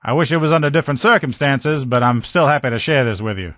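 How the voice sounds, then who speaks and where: A man voicing a gruff, loyal military lieutenant in a video game, with blunt, profane, folksy soldier talk. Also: medium pitch, fast talker, a bit bright, no echo, studio quality, natural voice. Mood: conversational. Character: gruff, loyal military lieutenant in power armor, protective comrade. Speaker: voice actor in a game mod. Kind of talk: video game character dialogue lines.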